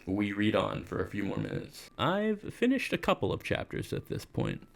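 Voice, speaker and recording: A man's voice: on the low side, huge pitch swings, moderate pace, creaky, full character voice. A man playing an easygoing, self-deprecating teenage schoolboy, casual and reflective, in first-person visual novel narration and dialogue.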